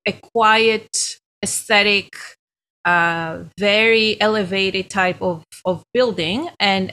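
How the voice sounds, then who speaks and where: A middle-aged woman from Greece with a Greek accent, a guest professor telling her story in a podcast interview in a thoughtful, measured way. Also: medium pitch, fairly bright tone. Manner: thoughtful, measured, laughs now and then.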